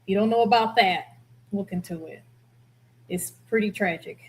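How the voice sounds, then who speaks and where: A woman hosting a casual reaction commentary, reading out comments with a blunt, expressive delivery. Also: mid-range voice, moderate pace. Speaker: host, former air traffic controller.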